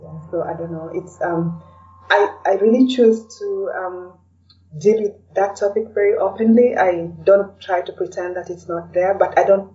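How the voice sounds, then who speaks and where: A woman's voice: medium pitch, fairly even intonation, moderate pace, warm tone, distant mic, muffled recording. A woman from Kenya talking reflectively as an interview guest, in casual conversation, often tagging her sentences with "you know".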